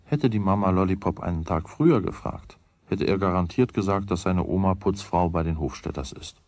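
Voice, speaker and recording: An actor playing the storyteller, narrating a German children's radio play from a script. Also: male voice, low voice, a little fast, darkish tone, dry recording. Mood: calm storytelling. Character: male storyteller of a children's tale.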